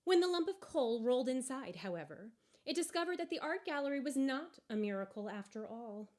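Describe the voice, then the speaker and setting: A woman narrating a story aloud from a book in an expressive, deliberate and wry way. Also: high-pitched. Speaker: storybook reader.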